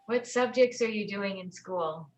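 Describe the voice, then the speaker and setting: A woman's voice: medium pitch, unhurried. A woman chatting casually with family over a video call.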